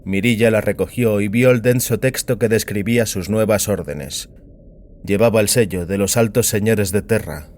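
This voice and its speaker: A man narrating a scripted dramatic story in a solemn, deliberate manner. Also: on the low side, darkish tone, a little hiss or hum. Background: music.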